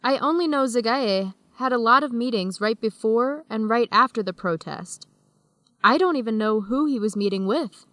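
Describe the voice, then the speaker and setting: A young woman student on her travels, easygoing and fond of teasing. Her voice sits fairly high and swings freely in pitch. It is radio drama dialogue, recorded close and dry. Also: animated, normal speed, a bit bright, no echo. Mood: amused. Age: young adult.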